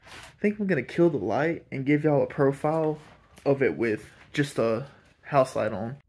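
A man's voice: medium pitch, expressive, moderate pace, a little mellow, slightly rough. A man giving a chatty, enthusiastic walkthrough of an empty old house, recorded on a handheld camera mic. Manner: enthusiastic, chatty tour guide. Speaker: urban explorer host. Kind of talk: casual walkthrough narration.

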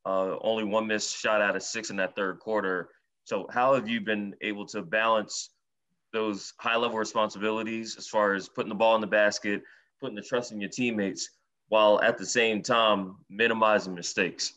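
A male reporter asking a measured, stat-laden question at a press conference. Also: lowish voice, little pitch movement, a bit bright. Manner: measured, reading out stats.

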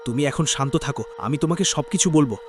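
A man playing an earnest young man who warns and explains gravely in a cartoon drama. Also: fairly high pitch, very fast, over music, lightly acted. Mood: grave explaining. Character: earnest, urgent young man warning his friend.

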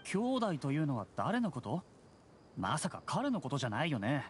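An actor playing a fussy, articulate, condescending young engineer in an anime game. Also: male voice, fairly high pitch, huge pitch swings, normal speed, a little hiss or hum. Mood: sarcastic, irritated. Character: fussy, sarcastic young bespectacled engineer brother.

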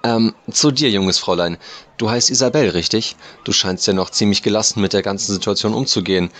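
Low-voiced male radio reporter played by an actor. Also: fairly quick, fairly bright tone, close to an everyday voice. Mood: officious, eager. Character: brisk, tactless male local radio news reporter. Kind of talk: radio reporting in a German audio drama.

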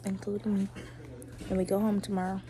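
A young woman in her twenties vlogging at home while sick with a cough, talking softly to her phone. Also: brisk. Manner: low-key, quiet and relaxed. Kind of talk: casual vlog talk to camera. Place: indoors at home, living room. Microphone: phone held close, front camera. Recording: noisy home recording.

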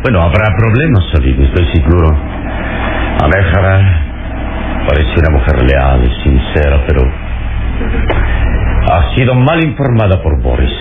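An actor playing a calm, wise adventure hero in a radio serial, measured and assured. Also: male voice, very deep voice, heavily acted. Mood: serious, assured. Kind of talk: old radio serial acting. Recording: lo-fi radio serial with background noise.

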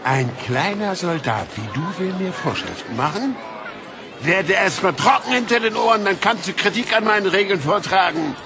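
A man's voice: high-pitched, expressive, moderate pace, over music, a crowd in the background. A man playing a harsh, contemptuous army captain in an audio drama, domineering and scolding. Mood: scornful. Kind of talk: German audio drama acting. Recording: noisy outdoor ambience behind.

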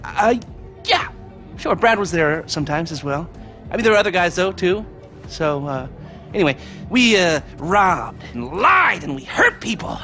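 A bright-voiced, grandiose criminal mastermind. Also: male voice, high-pitched, big pitch swings, moderate pace, faint music behind, full character voice. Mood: storytelling. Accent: American. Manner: theatrical, hesitant fillers, mock-formal. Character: nerdy criminal mastermind, grandiose, wry schemer. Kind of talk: video game cutscene acting.

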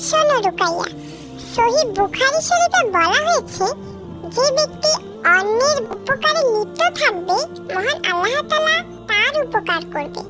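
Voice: very high-pitched, very animated, normal speed, over loud music. A performer voicing a kind, principled young child in a moral cartoon, speaking earnestly and preachily. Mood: earnest reproach.

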